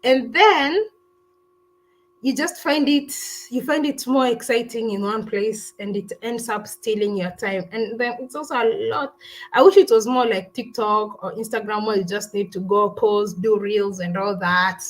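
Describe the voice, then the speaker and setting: A woman's voice: mid-range voice, unhurried, rough recording. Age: adult. Sex: woman. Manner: chatty, rambling, live-stream host. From Kenya. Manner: chatty and rambling, with frequent restarts and "I don't know". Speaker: travel vlogger hosting a live stream. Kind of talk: casual live-stream chat, answering viewer comments.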